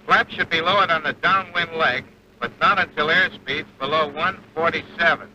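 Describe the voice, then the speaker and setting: Sharp, high-pitched 1940s flight instructor. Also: male voice, moderate pace, highs cut off. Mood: brisk, firm. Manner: clipped, instructional. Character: seasoned, exacting male bomber flight instructor. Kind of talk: 1940s training film acting. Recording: old 1940s film soundtrack, engine noise.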